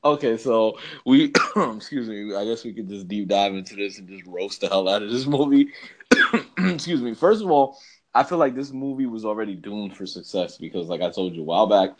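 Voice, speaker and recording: A man's voice: lowish voice, lively intonation, normal speed. A man co-hosting a podcast in casual chat, ranting with emphatic, slangy, repetitive phrasing. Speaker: podcast co-host.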